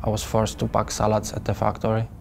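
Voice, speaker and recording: A man from Poland calmly recounting his own experience in a measured first-person voice-over. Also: fairly low pitch, gravelly, a little hiss or hum. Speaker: trafficking survivor telling his story. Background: soft background music.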